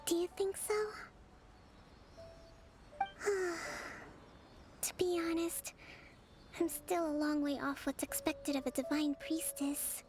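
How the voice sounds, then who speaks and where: Music plays behind a high, youthful female voice, a dignified priestess who leads an army in a video game. She is gentle and composed. Her phrasing is formal and carefully measured. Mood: soft, tired. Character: gentle, dignified young priestess and military leader.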